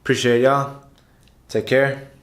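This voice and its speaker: A young man telling a casual story to viewers as a vlogger, in an animated, chatty way. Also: medium pitch, takes it slowly, background noise. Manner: animated retelling, chuckles often, addresses viewers as guys. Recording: roomy echo, music underneath.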